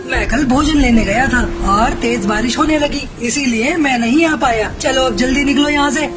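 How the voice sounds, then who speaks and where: A woman voicing a gruff, scolding male crow with a brusque, commanding manner in a Hindi animated story. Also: sits high, moderate pace, lightly acted. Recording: music behind the voice.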